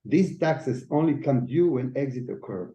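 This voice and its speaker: A man's voice: medium pitch, lively intonation, moderate pace, warm tone. A man giving a formal, scripted financial presentation as chief financial officer, reading out figures. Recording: echoey, slightly dull audio.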